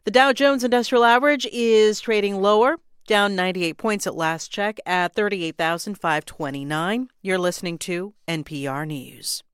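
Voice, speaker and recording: Smooth American newscaster woman, dry studio sound. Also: mid-range voice, normal speed, hardly any pauses, no echo. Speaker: radio news anchor. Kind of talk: scripted news reading.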